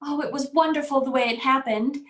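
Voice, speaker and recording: A woman's voice: high-pitched, fairly even intonation, fairly quick, fairly bright tone. An actress playing a timid, naive young servant woman in a radio drama, speaking with fearful, innocent earnestness. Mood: confiding. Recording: roomy, echoing voice track.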